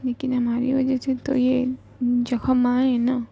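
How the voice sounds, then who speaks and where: A woman voicing a tearful young woman who speaks softly and full of remorse. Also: high-pitched, monotone, slow, creaky, husky, muffled recording, pitched above the performer's own voice, exaggerated voice. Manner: soft and remorseful.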